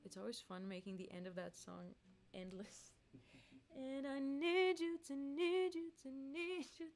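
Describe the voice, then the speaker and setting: A woman's voice: sits high, unhurried, faint music behind. A woman singer hosting a casual live stream, reading chat aloud and laughing often.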